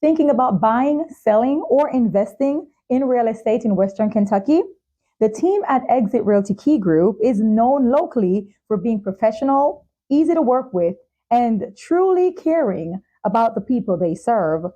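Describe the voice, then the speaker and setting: A woman hosting a talk show, presenting in a measured, carefully enunciated way. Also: fairly high pitch, expressive, warm tone, poor audio. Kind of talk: scripted show introduction and sponsor reads, interviewing.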